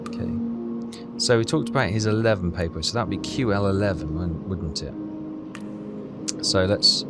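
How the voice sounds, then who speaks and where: A man's voice: low-pitched, normal speed. A man playing a game, reading notes aloud and muttering to himself as he works things out. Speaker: video game player and narrator. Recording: loud music behind the voice. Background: game music.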